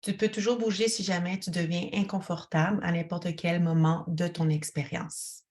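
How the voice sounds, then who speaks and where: A woman guides a relaxation as a yoga teacher, speaking to one listener in a calm, soothing, instructional way. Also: on the low side, normal speed.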